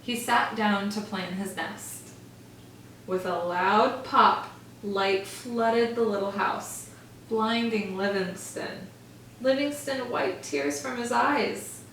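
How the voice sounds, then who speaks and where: A woman teacher reading a picture book aloud to children in a gentle, expressive storytelling manner. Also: medium pitch, slow pace, slight background noise. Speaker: first grade teacher. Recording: echoey room, far from mic.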